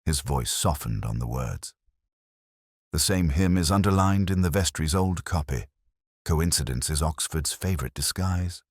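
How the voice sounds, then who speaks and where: A man reading a scripted first-person detective story in a measured, literary, wry manner. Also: extremely low pitch, no room sound, clean studio sound. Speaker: narrator.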